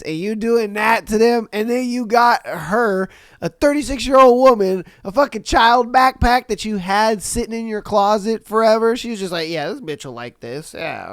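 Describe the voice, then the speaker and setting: A young adult man hosting a casual podcast, reading listener stories and reacting in a slangy, animated way, a little nasal and congested from a cold. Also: sits high, clear, resonant tone, no echo, studio quality. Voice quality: slightly nasal. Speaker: podcast host.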